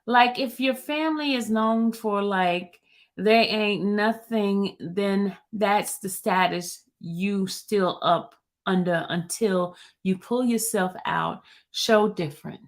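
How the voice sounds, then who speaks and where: A woman reading out a male gang witness's testimony in a lowered voice, answering in quiet, halting phrases. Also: close to an everyday voice. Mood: recalling.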